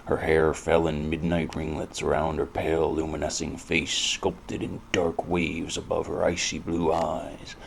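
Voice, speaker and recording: An American actor playing a world-weary, cynical, hard-drinking detective who narrates in a brooding, deadpan, gravelly noir style. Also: male voice, very deep voice, a little slow, hardly any pauses, slight background noise, full character voice. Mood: wistful, brooding. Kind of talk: scripted first-person hardboiled noir narration.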